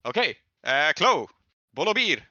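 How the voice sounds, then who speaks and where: A man's voice: a little high, big pitch swings, unhurried, sharp tone, dry recording. A man moderating a casual discussion among tabletop players as game master, on slightly dull audio.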